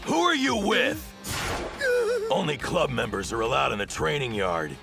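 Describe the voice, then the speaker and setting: An actor playing a gruff, short-tempered teenage sumo captain in an anime dub, confrontational in manner. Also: male voice, medium pitch, huge pitch swings, moderate pace. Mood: suspicious. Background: music.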